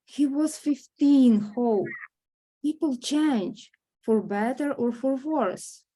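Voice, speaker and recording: A woman at a script table read playing a gentle, loving grandmother who reassures her granddaughter. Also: high voice, takes it slowly.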